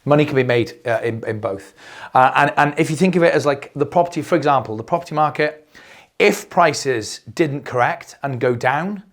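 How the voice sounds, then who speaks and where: A man's voice: mid-range voice, normal speed. A middle-aged man hosting a crypto video, talking off the cuff as he loses his voice, rambling and repeating words with many fillers. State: losing his voice. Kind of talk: unscripted explainer monologue.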